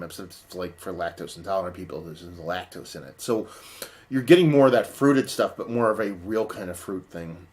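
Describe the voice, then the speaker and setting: Dude doing a chill beer review vlog, kinda hoarse from losing his voice, low-ish, swears a lot. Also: a bit bright. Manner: casual, profane, rambling. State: partly lost voice, lower than usual.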